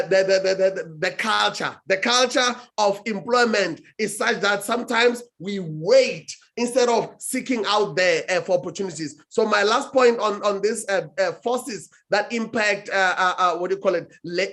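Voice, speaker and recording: A man's voice: high-pitched, normal speed, few pauses, fairly bright tone. Emphatic man speaking as a talk-show guest. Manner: emphatic, with many restarts and "what do you call it". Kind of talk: motivational talk-show answer.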